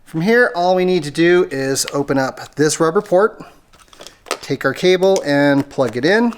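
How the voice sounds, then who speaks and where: An American how-to host with a mid-pitched voice, explaining a hands-on job step by step in a casual, conversational way. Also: measured pace. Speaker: host of an automotive how-to channel. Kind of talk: casual how-to tutorial narration.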